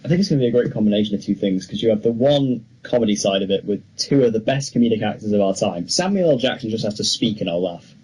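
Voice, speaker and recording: Low-voiced young man chatting about films. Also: animated, quick pace, few pauses, darkish tone, husky, low-quality recording. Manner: chatty, opinionated. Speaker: podcast co-host. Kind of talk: casual film discussion.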